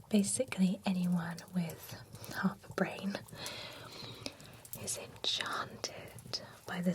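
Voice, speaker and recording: A woman's voice: mid-range voice, darkish tone, slight background noise. A woman whispering in a chatty, playful way as a pampering spa stylist in an ASMR roleplay. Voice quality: mostly unvoiced whisper.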